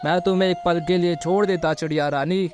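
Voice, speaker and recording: A man voicing a treacherous, hungry male vulture in a children's cartoon story, gloating with drawn-out laughs and hums. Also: sits high, fairly even intonation, noisy background, natural voice. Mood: menacing, hungry. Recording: loud music bed.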